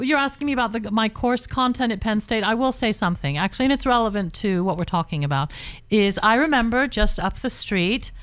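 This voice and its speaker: A woman's voice: on the high side, lively intonation, brisk, no echo. Chatty rambling woman, dry studio sound. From Britain, Bahamas and California. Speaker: radio show guest. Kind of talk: call-in radio chat.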